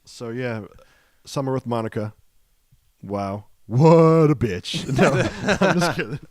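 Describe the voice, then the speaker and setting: A man's voice: mid-range voice, expressive, slow pace, darkish tone, no room sound, clean studio sound. A man co-hosting a film podcast, chatting casually and cracking jokes. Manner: joking, wisecracking. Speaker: podcast co-host.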